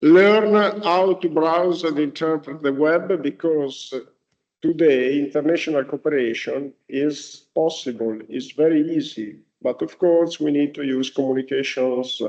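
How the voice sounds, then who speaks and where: A man whose first language is Italian gives a university lecture, speaking haltingly with many restarts and fillers. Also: on the high side, darkish tone. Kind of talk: lecture to students. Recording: dull lo-fi recording.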